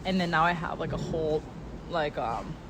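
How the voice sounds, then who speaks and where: A young woman co-hosting a casual chat, rambling with lots of fillers and quick to laugh, English not her first language. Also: sits low. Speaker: co-host. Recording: noisy recording.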